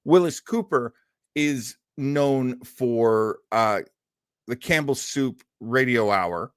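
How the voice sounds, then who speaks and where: A man's voice: mid-range voice, slow pace, clear, resonant tone, dry recording, studio quality. A man hosting an old-time radio show, chatting casually and enthusiastically to his audience in a rambling, conversational way. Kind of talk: casual chat to a live audience.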